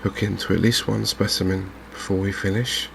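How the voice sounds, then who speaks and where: A soft-spoken man giving unhurried ASMR commentary on a video game. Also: low-pitched, flat delivery, creaky, noisy background. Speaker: gaming video host.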